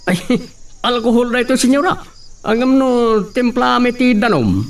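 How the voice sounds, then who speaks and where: A man's voice: extremely high pitch, moderate pace. A man with an Ilocano accent playing a talkative, deferential farmhand in a radio drama, recorded at a distance. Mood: deferential, excusing. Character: farmhand Bruno, talkative, deferential male servant. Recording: distant mic, faint noise.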